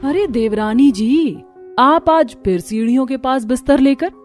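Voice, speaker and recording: A woman's voice: sits high, unhurried, voice pushed up. A woman voicing an inquisitive, teasing elder sister-in-law in a cartoon family story, politely probing, over music. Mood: suspicious.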